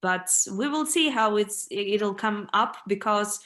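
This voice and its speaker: A woman's voice: mid-range voice, animated, slow, fairly bright tone. Ukrainian-accented woman chatting on a video call. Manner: chatty, many "like" and "you know" fillers. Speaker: band vocalist, interview guest. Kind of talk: casual interview answers.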